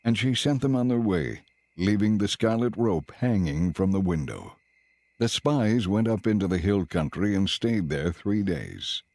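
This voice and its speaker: A man giving measured, solemn scripted narration for a dramatized audio Bible. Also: fairly low pitch, animated, darkish tone.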